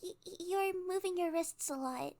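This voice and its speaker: Woman acting a high-pitched, giggly, stammering kidnapper girl. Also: normal speed, darkish tone. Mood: giddy, flustered. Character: cute, nervous, giddily obsessive young female kidnapper. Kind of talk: ASMR roleplay monologue.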